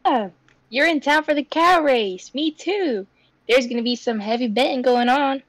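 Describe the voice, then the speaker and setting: A woman's voice: high-pitched, normal speed, no room sound. A woman voicing a cheerful, easygoing, goofy male cartoon buddy with a folksy, chummy manner.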